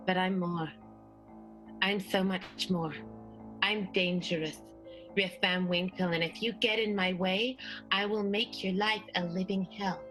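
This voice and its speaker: A woman playing a haughty, menacing opera diva in an improvised melodramatic radio play, speaking grandly and deliberately. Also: medium pitch, fairly even intonation, a bit bright, faint music behind, lightly acted, low-quality recording. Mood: cold, threatening.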